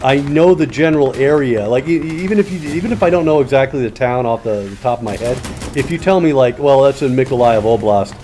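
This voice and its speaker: A man's voice: mid-range voice, animated, fast talker, dark tone, slight background noise. A man streaming a shooter game, rambling in casual commentary with plenty of swearing and answering his chat.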